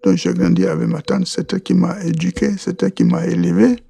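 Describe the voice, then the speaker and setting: A man from Côte d'Ivoire, a podcast guest answering interview questions, halting and often repeating words as he restarts. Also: sits high, little pitch movement, creaky, warm tone, breathy edge.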